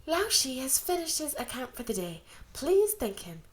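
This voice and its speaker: A brisk young woman chairs a club meeting in a storybook tale, acted out by a female reader. She speaks formally, as if announcing to a group, in a raised pitch. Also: sing-song. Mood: formal, polite. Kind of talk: storybook character voice.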